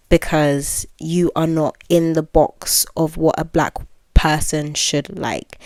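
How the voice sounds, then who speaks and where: A woman's voice: sits low, no room sound, clean studio sound. A woman aged twenty, well-spoken, hosting her own podcast and musing candidly, with fillers and self-corrections. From United Kingdom. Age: 20s. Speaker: podcast host. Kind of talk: reflective solo podcast monologue.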